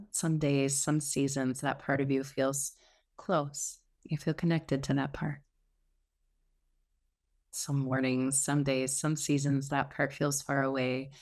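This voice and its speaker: A woman leading a guided meditation as the teacher, speaking in a gentle, soothing, unhurried way with pauses. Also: sits low, creaky.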